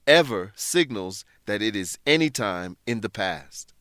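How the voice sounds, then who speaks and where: A man presenting an English lesson in scripted narration, explaining things in a measured way with careful enunciation. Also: on the low side, expressive, a bit bright, dry recording, clean studio sound.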